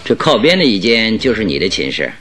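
A brisk, low-voiced probing detective. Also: male voice, big pitch swings, a bit bright, faint music behind. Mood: brisk, observant. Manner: probing, questioning, commanding. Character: brisk, inquisitive male detective, keenly observant. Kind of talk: radio drama acting.

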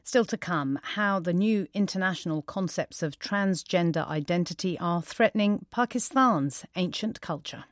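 A woman presenting a radio news programme in a measured, formal way. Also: lowish voice, dry recording, studio quality.

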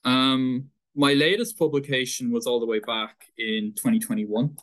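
A man's voice: medium pitch, steady tone, normal speed, fairly bright tone. A man in his twenties with a Dublin Irish accent, a guest author telling his story in an earnest, thoughtful way. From Ireland. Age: 20s. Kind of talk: casual interview chat.

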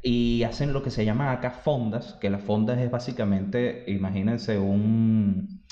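A man's voice: on the low side, fairly even intonation, unhurried, mellow, roomy sound, muffled recording. A man, co-hosting a casual podcast and explaining local customs like a storyteller. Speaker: podcast co-host. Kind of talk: casual chat.

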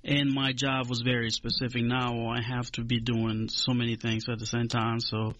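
A man in his forties from Honduras, speaking English as a second language, answering interview questions in a measured, hesitant way with many fillers. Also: mid-range voice, monotone, a bit bright, dry recording, dull audio. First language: Spanish. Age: 40s. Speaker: extension agent. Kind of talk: radio interview.

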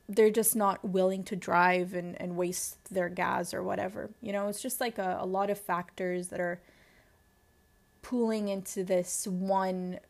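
A young woman in her twenties with a Lebanese accent, hosting a personal diary-style podcast and talking candidly and introspectively, often pausing to search for words. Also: mid-range voice. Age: 20s. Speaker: podcast host.

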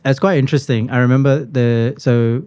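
A man's voice: mid-range voice, fairly quick, clean tone. A man in his thirties from Malaysia, casually recounting his past in a conversation, with many fillers. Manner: relaxed storytelling with many fillers. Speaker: guest.

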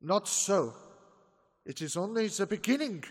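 A man's voice: high-pitched, big pitch swings, unhurried, breathy edge, heavily acted. A man as a grave old professor. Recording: reverberant, slightly distant.

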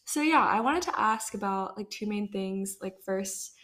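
A woman's voice: mid-range voice, expressive, measured pace, fairly bright tone. Chatty young woman hosting a podcast. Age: young adult. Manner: chatty, filler-heavy, upbeat. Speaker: podcast host. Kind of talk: casual podcast monologue.

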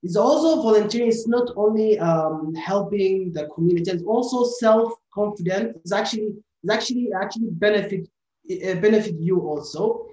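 A man's voice: sits high, animated, moderate pace, a little mellow, lo-fi sound, a little hiss or hum. A young man from Somalia, a student volunteer, talking hesitantly with many fillers and restarts. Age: young adult. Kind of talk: casual podcast discussion. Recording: roomy echoey recording.

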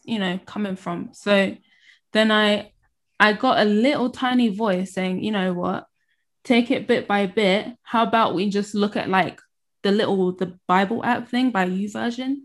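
A woman telling her faith story in casual conversation, often pausing and restarting her sentences. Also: medium pitch, steady tone. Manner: halting, restarts, laughs while telling.

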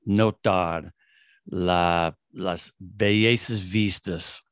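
Halting non-native Spanish-speaking man. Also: low-pitched, fairly even intonation, fairly bright tone, no echo, clean studio sound. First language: English. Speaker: podcast host, guest. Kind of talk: interview conversation.

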